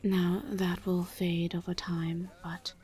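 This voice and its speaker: A woman playing a gentle, reserved vampire in a roleplay audio drama, speaking in a hushed, breathy voice with careful, halting, formal phrasing. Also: fairly low pitch, level tone. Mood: hesitant, tender. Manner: halting, careful, formal diction without contractions. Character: gentle, reserved female vampire travelling with a knight.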